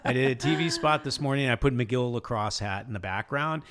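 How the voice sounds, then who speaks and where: A man's voice: mid-range voice, brisk, a bit bright. A middle-aged man co-hosting a podcast in a casual, rambling, conversational way. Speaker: podcast co-host. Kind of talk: casual chat.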